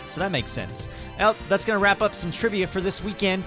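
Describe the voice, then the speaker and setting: A man's voice: high-pitched, a little fast, music in the background. A man hosting a radio show in an informal, conversational style. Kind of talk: casual radio chat.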